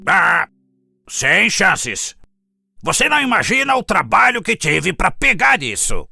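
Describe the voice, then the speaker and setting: A man's voice: high voice, very animated, a little slow, vocal fry, sharp tone, no room sound, studio quality, full character voice. A man voicing a proud, selfish crow in a children's cartoon fable, haughty and dismissive. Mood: scornful. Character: proud, selfish, haughty male crow guarding his cheese.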